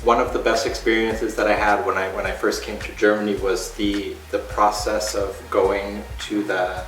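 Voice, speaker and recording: A man from the northeastern United States, a guest chatting casually on an interview show, relaxed and full of fillers. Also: lowish voice, normal speed. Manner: relaxed, with many fillers and restarts. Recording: echoey room from a distant mic.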